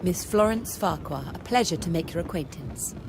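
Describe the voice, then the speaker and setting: An actress playing a young, well-bred British lady with an educated English accent, outspoken and expressive, in a video game. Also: lowish voice, a little fast, background noise. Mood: polite, chatty. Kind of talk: video game dialogue acting.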